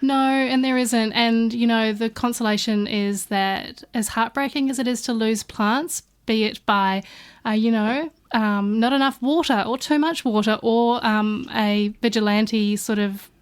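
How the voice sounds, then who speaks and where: A woman from New Zealand chatting on a studio podcast, her voice sitting a little on the high side. She wanders through anecdotes with many ums and self-corrections. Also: steady tone, unhurried, clear, resonant tone. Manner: chatty, warm anecdotes with many fillers and restarts. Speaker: guest artist studio partner. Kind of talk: casual podcast chat.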